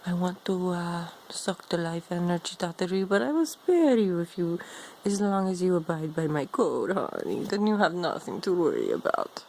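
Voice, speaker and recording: An ancient vampire woman, role-played by a woman, low and heavy with vocal fry. She is condescending and seductive, with menace under every unhurried phrase. There is faint noise behind her. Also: very animated, a little mellow. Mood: menacing. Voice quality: gravelly, low, creaky. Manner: slow, haughty, teasing, calls listener darling. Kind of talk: improvised in-character role play monologue to viewer.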